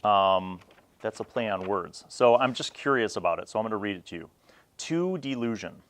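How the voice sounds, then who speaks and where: A man in his forties hosting a casual, unscripted gaming review, talking reflectively and conversationally in short phrases with pauses. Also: on the low side, expressive, fairly bright tone. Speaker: host of a vintage tabletop gaming review series.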